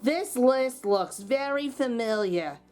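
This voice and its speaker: Woman doing a chirpy, very high bakery lady. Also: animated, takes it slowly, darkish tone, faint music behind. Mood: friendly. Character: friendly, motherly bakery shopkeeper woman in a storybook.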